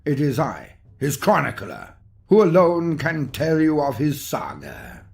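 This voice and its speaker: A man's voice: fairly high pitch, huge pitch swings, unhurried, vocal fry, husky, no echo. A man narrating a scripted mock-epic fantasy tale in a grandiose, theatrical manner with ornate delivery. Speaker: storyteller, narrator.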